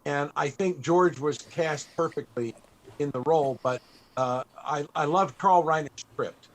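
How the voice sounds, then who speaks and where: An older man hosting an interview, chatty and a bit halting, with frequent chuckles. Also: a little high, low-quality recording. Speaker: panel host.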